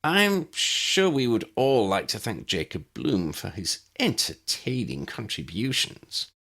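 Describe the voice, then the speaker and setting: A man's voice: fairly low pitch, very animated, takes it slowly, pushed and bright, full character voice. A man voicing a formal, theatrical master of ceremonies addressing a meeting in an audiobook story. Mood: formal welcome.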